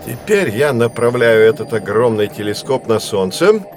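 An actor playing a learned, patient astronomy professor who explains things in a measured, didactic way in an educational audio drama. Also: male voice, mid-range voice, sing-song, faint music behind, close to an everyday voice. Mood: patient explaining. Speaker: teacher.

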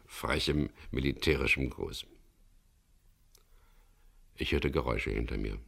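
An actor playing a tough, decisive boat skipper in a radio crime drama, with a gravelly voice. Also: male voice, very deep voice, fairly bright tone, full character voice. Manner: terse, commanding in dialogue, measured in narration. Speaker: lead actor and first-person narrator of a radio play.

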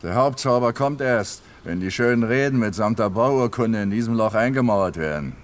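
An actor playing a bitter, cynical plotter in a radio drama, speaking in a dry, sardonic way. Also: male voice, mid-range voice, lively intonation, moderate pace, lightly acted. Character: bitter, cynical male plotter dreaming of destruction.

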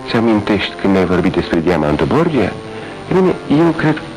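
Detective, low voice, lively intonation, measured, ironic, radio play. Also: male voice, music in the background, low-quality recording. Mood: calm, assured. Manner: confident, measured, lightly ironic. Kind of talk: 1980s radio drama acting.

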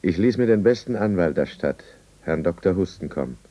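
A man's voice: deep voice, animated, brisk, mellow, highs cut off. A man playing a proud, anxious and embittered prisoner in a 1950s German radio drama, formal and intense. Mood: bitter, narrating. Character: anxious, proud, embittered male prisoner telling his downfall.

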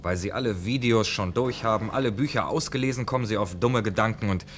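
A man's voice: lowish voice, fast talker, a little hiss or hum, natural voice. A man playing a suspicious starship second-in-command in a science-fiction radio drama, sardonic and officious. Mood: sardonic, assured.

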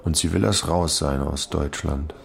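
A thoughtful police inspector in a radio play, musing aloud with a very low voice. Faint background noise sits behind him. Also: male voice, measured pace, creaky, exaggerated voice. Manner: thoughtful, musing, talks it through. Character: older male police chief inspector, musing and weary. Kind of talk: radio drama acting.

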